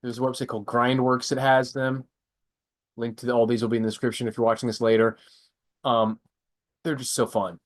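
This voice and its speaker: A man's voice: mid-range voice, level tone, a little fast, pauses often. A young adult man with a stuffy, congested voice, hosting a casual live stream and rambling through product picks with frequent self-corrections. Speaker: prepper channel host.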